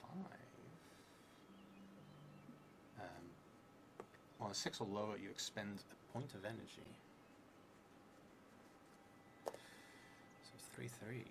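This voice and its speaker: A man in his thirties with a creaky voice, thinking aloud and reading as he plays a solo tabletop game, halting and trailing into murmurs. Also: fairly low pitch, heavy background noise. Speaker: solo tabletop roleplayer streaming.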